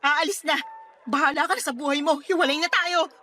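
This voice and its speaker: A woman's voice: high voice, fairly quick, bright, forceful tone, faint music behind. An actress with a Filipino accent playing a hurt, angry young girlfriend, confrontational and sarcastic, in a radio drama. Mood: furious.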